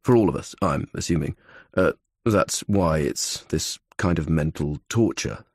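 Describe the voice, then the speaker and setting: Man voicing an anxious young athlete, deep and husky. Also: animated, a little slow, darkish tone, no echo, clean studio sound, exaggerated voice. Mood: fretful, reasoning. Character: anxious young male athlete with health phobia.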